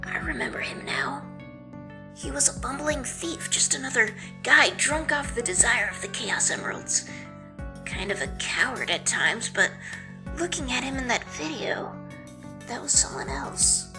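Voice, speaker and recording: Boy sidekick, played by a woman: highish, animated pitch, earnest, a bit snippy, audio drama. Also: a little slow, exaggerated voice. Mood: troubled. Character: young boy sidekick, earnest, quick to snipe back. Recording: music bed under the voice.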